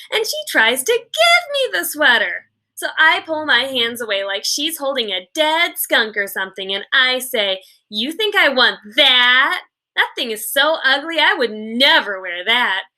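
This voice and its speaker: A woman's voice: high voice, very animated, measured pace, bright, forceful tone, full character voice. A woman voicing a chatty, haughty fifth-grade girl from a children's book, gushing in run-on sentences. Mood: gossipy, gushing.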